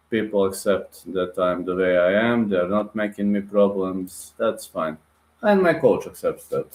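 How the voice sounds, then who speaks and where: A man's voice: lowish voice, a little mellow. Blunt man in a roomy echo. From Bulgaria. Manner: direct, blunt, addresses viewers as guys, non-native phrasing. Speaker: livestream host. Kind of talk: casual livestream chat, reading and answering viewer comments.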